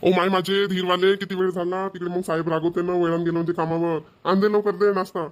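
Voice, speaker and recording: A harried young cartoon husband, acted by a man, rattles on quickly in a rather high male voice. His Vidarbha Marathi speech is scolding and exasperated. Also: flat delivery, close to an everyday voice. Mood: impatient. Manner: nagging, complaining. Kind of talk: amateur cartoon voice acting.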